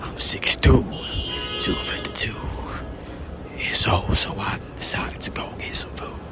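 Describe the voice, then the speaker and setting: A man delivering a rambling, deadpan comic monologue, repeating himself in a slow, half-whispered way and boasting about his voice. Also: loud music behind the voice. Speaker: comedic vlogger. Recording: loud traffic noise behind.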